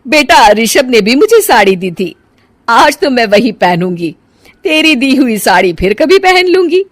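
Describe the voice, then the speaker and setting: A woman's voice: on the high side, very animated, unhurried, dry recording. A woman voicing an elderly, emotional and sulky mother-in-law in a cartoon moral story. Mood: calm, cool.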